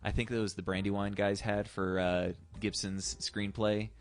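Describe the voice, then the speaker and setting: Deep-voiced man in halting casual chat. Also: flat delivery, soft background music. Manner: hesitant, halting, profane. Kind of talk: casual chat, retelling a film plot.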